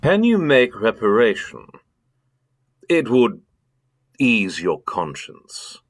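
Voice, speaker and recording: Solemn Victorian gentleman played by a man, lowish voice, expressive swings, very deliberate pace, radio drama. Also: no room sound, studio quality, heavily acted. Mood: grave, probing. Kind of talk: radio play acting.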